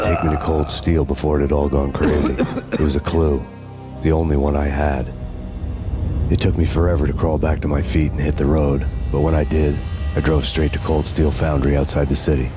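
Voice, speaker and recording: A man narrating a hardboiled noir story in a bleak, brooding monologue. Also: extremely low pitch. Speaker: narrator reading a game story.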